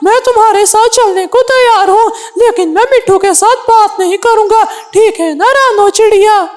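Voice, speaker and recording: A woman plays a small male bird from a children's cartoon. The voice is extremely high and squeaky. He is touchy and argumentative, quick to huff and complain. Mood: huffy. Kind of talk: Hindi children's cartoon dialogue.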